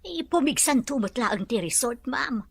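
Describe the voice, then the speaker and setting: A woman acting a husky, sympathetic helper. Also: high-pitched, expressive, moderate pace, no echo, heavily acted. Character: sympathetic, chatty older female household helper. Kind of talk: Ilocano audio drama acting.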